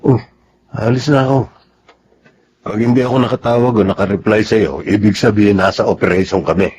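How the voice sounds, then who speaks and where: A Filipino actor playing a devoted, steady young soldier husband in a radio drama. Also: male voice, on the low side, brisk, close to an everyday voice. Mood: reassuring. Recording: muffled, noisy radio drama audio.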